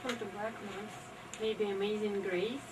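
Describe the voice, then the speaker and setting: A woman's voice: mid-range voice, fairly even intonation, unhurried, heavy background noise. A woman speaking informally from the audience at a live event. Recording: far from mic, loud music over voice.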